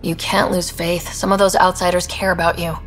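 Woman as earnest, lower-voiced young explorer. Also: level tone, normal speed, soft background music, lightly acted, poor audio. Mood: earnest, reassuring. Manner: earnest, casual, direct. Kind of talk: video game dialogue.

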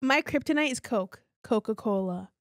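A woman's voice: on the high side, animated, moderate pace, no echo, studio quality. Blunt, foul-mouthed woman co-host. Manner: blunt, swears freely. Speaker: podcast co-host. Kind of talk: casual chat.

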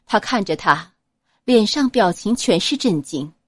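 A woman narrating a serialized novel as an audiobook, reading in a dramatic, expressive manner. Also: mid-range voice, a little slow, breathy edge, dry recording, clean studio sound.

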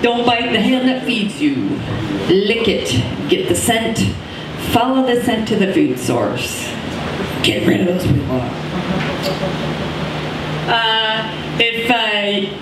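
Middle-aged woman comic, rambling deadpan delivery, heard through a roomy echo from across the café. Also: on the high side, slow, long pauses, very noisy. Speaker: open mic comedian. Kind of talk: stand-up comedy set. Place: small coffee shop stage, indoors. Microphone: handheld mic, far camera. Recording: echoey room from far off.

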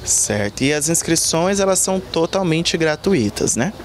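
A man's voice: on the high side, expressive, normal speed. Brazilian male field reporter, faint background noise. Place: outdoors in a leafy yard. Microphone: handheld reporter microphone.

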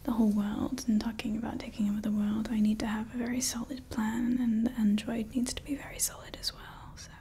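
A woman voicing a scheming female evil scientist who calls herself Mother, in a slow, soft, conspiratorial whisper for ASMR roleplay on a close microphone. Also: fairly high pitch, level tone, a little hiss or hum, lightly acted. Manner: slow, intimate, conspiratorial ranting. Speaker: ASMR creator.

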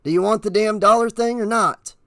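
A man's voice: high-pitched, animated, measured pace, vocal fry, pushed and bright, dry recording, studio quality, voice pushed up, full character voice. Man acting a grating, shady male stranger. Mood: irritated, weary. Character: shady male stranger, weary, grating, menacingly coaxing car thief. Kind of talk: audiobook character voice.

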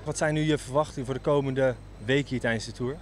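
A man's voice: mid-range voice, fairly even intonation, fast talker, slight background noise. A man reporting on location with a handheld mic, introducing and asking questions. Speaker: field reporter. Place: outdoors in street.

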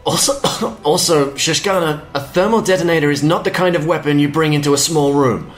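A man's voice: fairly high pitch, fairly quick, lightly acted. A man playing a wisecracking, sarcastic and impatient smuggler in a space audio drama. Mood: exasperated.

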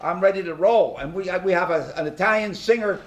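A man's voice: high-pitched, brisk. A man in his nineties hosting a small studio talk show, rambling folksily with lots of fillers and restarts. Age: 90s. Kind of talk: casual interview chat. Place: small studio with banners, seated at a table.

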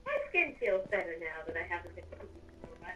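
A woman's voice: lowish voice, big pitch swings, fast. A woman playing a breezy, teasing villain housemate in an improvised Minecraft roleplay. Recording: distant home microphone.

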